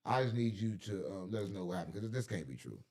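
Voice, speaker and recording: A man's voice: lowish voice, lively intonation, fast talker, a crowd in the background. A man from the American Midwest with an American accent hosts a casual talk show, arguing in slangy, emphatic bursts and repeating himself. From St. Louis, American Midwest. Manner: emphatic, repetitive, argumentative, slangy. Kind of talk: casual heated banter.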